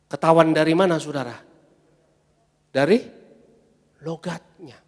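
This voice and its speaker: A man preaching a sermon as pastor, emphatic, swelling loud on his refrains and calling the congregation to say amen. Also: on the high side, a little slow. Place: large church hall. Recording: long church-hall reverb.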